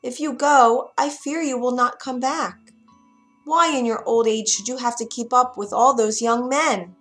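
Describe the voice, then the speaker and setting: A woman's voice: a little high, steady tone, a little slow, fairly bright tone, soft background music, voice pushed up. A woman voicing a brave young woman warrior in a storybook reading, in a raised, earnest and pleading tone. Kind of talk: storybook character voice.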